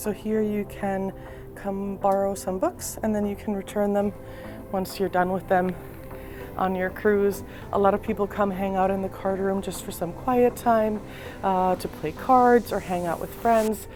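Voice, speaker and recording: Vlogger, woman, husky sore-throat voice, easygoing tour-guide delivery, faint music under. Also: medium pitch, unhurried. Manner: relaxed, explanatory, frequent ums. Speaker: cruise vlogger giving a ship tour. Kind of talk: casual walking tour narration. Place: indoors, moving through a cruise ship.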